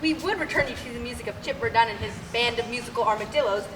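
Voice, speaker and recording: A woman's voice: a little high, quick pace, close to an everyday voice. A young woman playing a flustered, pompous radio announcer in a comic old-time radio play. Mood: formal, flustered. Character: flustered, apologetic radio announcer and bossy hotel manager. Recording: echoey hall, far mic, clear noise.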